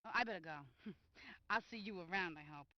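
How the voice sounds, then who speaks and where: A woman's voice: fairly low pitch, moderate pace, no echo, highs cut off, close to an everyday voice. A woman playing a playful, bossy gangster's lady in a video game cutscene. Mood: wistful. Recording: loud boat and vehicle noise.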